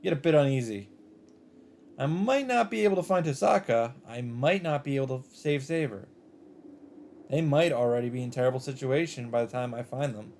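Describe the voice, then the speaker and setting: A man reading a visual novel script aloud in an expressive narrating style. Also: mid-range voice, normal speed, slight background noise. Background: game music.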